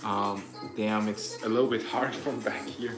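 A man's voice: lowish voice, steady tone, a little slow, reverberant, music in the background. Chatty young man on a livestream. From Austria. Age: 20s. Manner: upbeat, chatty, thanking viewers. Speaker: livestream host. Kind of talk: casual livestream chat reading donations. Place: living room couch indoors. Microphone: phone camera propped in front.

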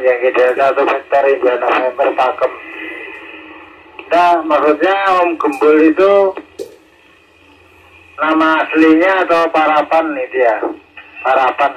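A man's voice: fairly high pitch, lo-fi sound. Indonesian man over a noisy two-way radio. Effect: two-way radio transmission. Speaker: amateur radio operator. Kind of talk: casual two-way radio exchange with call signs. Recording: noisy radio repeater heard through speaker.

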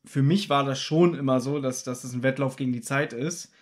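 A man's voice: medium pitch, brisk. Man retelling a radio-play plot. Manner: retells plot in detail, animated when joking. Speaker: podcast co-host. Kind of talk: casual chat reviewing a radio play.

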